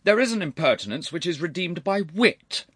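A man's voice: mid-range voice, big pitch swings, moderate pace, fairly bright tone, husky, dry recording, clean studio sound, voice pushed up. A man voicing a haughty, irascible older aristocratic uncle in a storybook reading, stern and pompous, with cutting formal phrasing. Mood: severe, irritated. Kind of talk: audiobook character voice.

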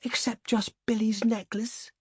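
Man voicing a young actress, very high-pitched, fluttery, silly, gasping and pleading, storybook character. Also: level tone, a little slow, a little mellow, husky, dry recording, studio quality. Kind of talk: audiobook character voice.

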